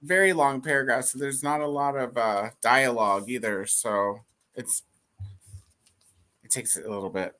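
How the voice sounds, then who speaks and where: A co-host chatting casually about books, who often restarts sentences and laughs softly. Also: medium pitch, normal speed. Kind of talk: casual chat.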